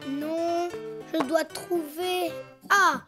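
A performer voicing a cheerful, imaginative little boy donkey in a cartoon, in a childlike, expressive way. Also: very high voice, extremely slow, music in the background, full character voice. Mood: playful. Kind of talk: cartoon voice acting.

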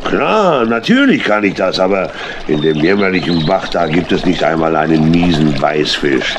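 An actor playing a jolly, boastful old tramp in a children's audio drama, hearty, folksy and full of exclamations. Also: male voice, deep voice, sing-song, moderate pace, background noise. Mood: wheedling.

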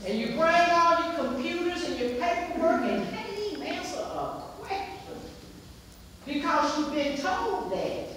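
A woman's voice: very high voice, lively intonation, measured pace, a bit bright, slight background noise. A middle-aged woman with a West Virginia accent, standing to speak at a public hearing, emphatic and repetitive. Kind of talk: impassioned public comment. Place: community center meeting room. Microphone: far camera across the room. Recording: echoey room from far off.

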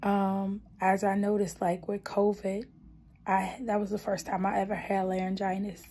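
Hoarse young woman, soft earnest testimony. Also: medium pitch, completely flat delivery, normal speed, slight background noise. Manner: soft-spoken, earnest, partly whispered. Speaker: gospel singer. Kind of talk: spoken testimony then a cappella gospel singing. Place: small dim room indoors. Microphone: phone camera held close.

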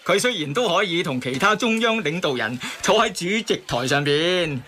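An actor in a radio drama playing a talkative, pompous taxi driver with a Hong Kong Cantonese accent, emphatically reeling off sayings. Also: male voice, high-pitched, lively intonation, normal speed, bright, forceful tone, slight background noise. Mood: emphatic. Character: talkative taxi driver who spouts proverbs.

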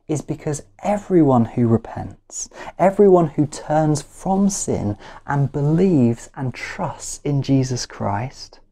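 Slow, mellow man preaching. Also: mid-range voice. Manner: deliberate, emphatic repetition with measured pauses. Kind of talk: sermon. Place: small living room at home, indoors.

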